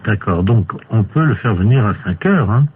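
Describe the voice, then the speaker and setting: Man posing as deadpan court official, tinny phone line. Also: fairly low pitch, moderate pace, background noise, lightly acted. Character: deadpan male court official making absurd requests. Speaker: prank caller. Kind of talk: improvised telephone prank.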